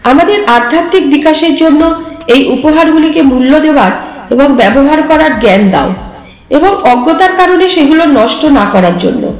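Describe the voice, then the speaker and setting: A woman's voice: sits high, animated, normal speed. A woman storyteller and channel host reading a scripted story aloud. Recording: echoey, long reverb, music underneath. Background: music.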